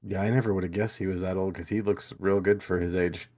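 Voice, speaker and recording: Man, radio DJ, podcast guest, easygoing, lowish voice. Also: steady tone, fast talker, darkish tone. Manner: easygoing, short agreeing replies. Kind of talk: casual interview chat.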